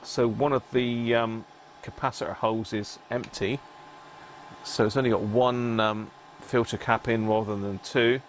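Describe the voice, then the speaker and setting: A man hosting a live repair stream, chatting casually to viewers and thinking aloud in short, halting phrases. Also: mid-range voice, fairly even intonation, a little hiss or hum.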